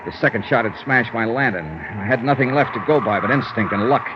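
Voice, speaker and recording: An actor playing a hard-boiled investigator who tells his own ordeal in a deliberate, intense 1950s radio drama style. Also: mid-range voice, background noise, lightly acted. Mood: grim, dramatic. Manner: deliberate, intense, theatrical storytelling. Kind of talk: 1950s radio drama acting, dramatic first-person narration. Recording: dull vintage radio recording with prominent music.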